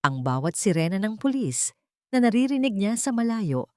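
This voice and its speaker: A woman's voice: medium pitch, sing-song, moderate pace, mellow, dry recording, clean studio sound. A woman reading a scripted dramatic story as an audiobook narrator, in a solemn, theatrical manner.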